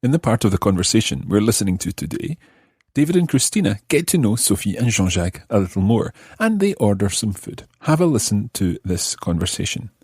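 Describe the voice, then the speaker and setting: Lively low-voiced man hosting a language lesson. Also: moderate pace, husky, dry recording, studio quality. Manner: explanatory, carefully enunciated teaching. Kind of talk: scripted lesson narration.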